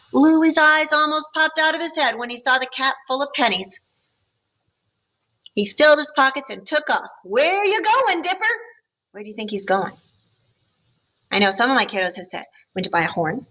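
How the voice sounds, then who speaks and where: A woman teacher reads a picture book aloud to young students. She stops to ask them questions and explain the story. Her voice is bright and rather high, with a friendly, patient tone. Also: poor audio. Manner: friendly, explaining to children between passages.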